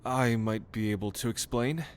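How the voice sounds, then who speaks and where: A man's voice: medium pitch, moderate pace, clear, resonant tone, close to an everyday voice. A man playing an anxious, protective young brother in a dramatic audio roleplay. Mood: apologetic.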